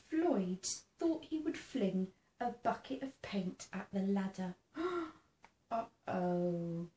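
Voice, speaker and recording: A woman reading a children's picture book aloud in a theatrical, expressive way, engaging young listeners. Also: a little high. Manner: asks the listening child questions. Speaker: storybook reader. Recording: roomy sound with faint background noise.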